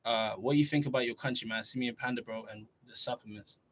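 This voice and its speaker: Young adult male, Norway-born fitness streamer, casual chatty Q&A host, mid-pitched voice. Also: quick pace. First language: Norwegian. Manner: relaxed, chatty, reads questions aloud then answers. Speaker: fitness YouTuber answering live chat. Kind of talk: casual livestream Q&A.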